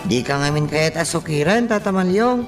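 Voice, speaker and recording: An actor with an Ilocano accent playing an earnest young suitor in a radio drama. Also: male voice, fairly high pitch, expressive, fairly quick, close to an everyday voice. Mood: reproachful. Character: earnest young suitor, respectful toward an older man. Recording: distant voice under loud music.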